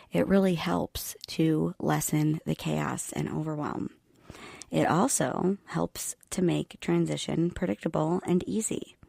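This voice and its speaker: A middle-aged woman hosting her own podcast, talking in a calm, steady monotone. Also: deep voice, gravelly, no room sound, clean studio sound. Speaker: podcast host. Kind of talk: solo podcast talk. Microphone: home podcast mic.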